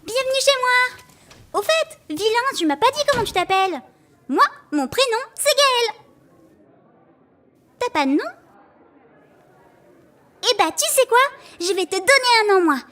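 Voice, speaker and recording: Super high pitched kid, chirpy n cheeky, bossy but kind, audio drama. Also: female voice, very animated, measured pace, long pauses, fairly bright tone, full character voice. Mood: cheerful, bossy. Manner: chirpy, cheeky, take-charge. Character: bold, bossy, kind-hearted child living by a forest. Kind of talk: scripted audio drama dialogue.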